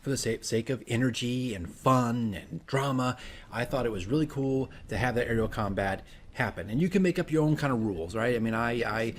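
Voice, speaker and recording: A man's voice: medium pitch, brisk. A man talking casually as a dungeon master, working from notes and often checking in with "right?". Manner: chatty, self-correcting, often tags sentences with "right?". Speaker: dungeon master giving game tips.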